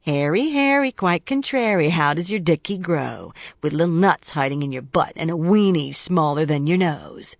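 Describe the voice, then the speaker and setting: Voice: mid-range voice, lively intonation, unhurried, creaky, slightly rough, dry recording, exaggerated voice. A narrator voicing a menacing male death-row killer who taunts in a crude, mocking singsong and sneering whispers.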